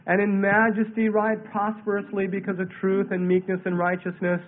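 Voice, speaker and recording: Guy preaching bible verse by verse, stuffy head cold, highish voice, thin phone-like radio sound.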